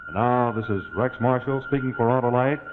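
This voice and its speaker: A man's voice: medium pitch, fairly even intonation, a little fast. A man giving a scripted sign-off as a closing announcer in 1940s broadcast style. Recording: tinny 1940s broadcast with music behind.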